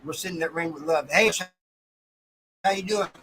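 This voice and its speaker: Casting: American male livestream host, folksy and colloquial, very bright forward voice, some background noise. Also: on the high side, normal speed. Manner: folksy, colloquial, addresses viewers by name. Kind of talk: casual livestream chat with viewers.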